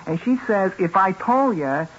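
A shifty lowlife man talks in a fairly high voice. He is slangy, hesitant and evasive, grudgingly talkative. It sounds like a dull 1970s radio broadcast with some hiss. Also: animated, a little slow, fairly bright tone. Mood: chatty, animated. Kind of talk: 1970s radio drama acting. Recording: muffled, noisy old radio broadcast.

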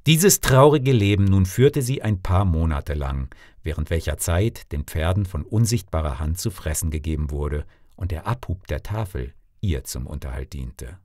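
A man narrating a fairy tale as an actor would, in an expressive, dramatic scripted style. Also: deep voice, moderate pace, hardly any pauses, dry recording, clean studio sound. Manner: theatrical, dramatic. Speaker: actor and storyteller.

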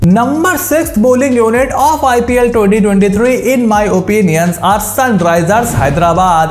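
Young guy, high voice, talking cricket rankings to camera, roomy echo on a lapel mic. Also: darkish tone. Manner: slows and stresses each team announcement. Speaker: cricket analysis host. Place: small indoor studio with brick-pattern wall. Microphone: lapel mic, camera at mid distance.

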